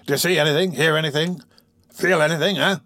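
A man's voice: mid-range voice, animated, moderate pace, fairly bright tone, dry recording, studio quality. A man voicing a blustering, irritable doctor in an audiobook, brusque and bustling. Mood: bustling, excited. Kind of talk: audiobook character voice.

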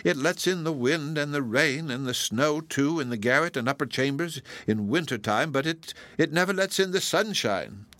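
A gloomy, lonesome old woman with a stiff temper, sighing and mournful as she speaks , put on by a male audiobook reader. Her voice sits clearly above his own register. It is recorded close and very dry, with no room sound. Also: on the high side, expressive, fairly bright tone, dry recording, pitched above the performer's own voice. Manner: mournful, sighing, halting delivery.

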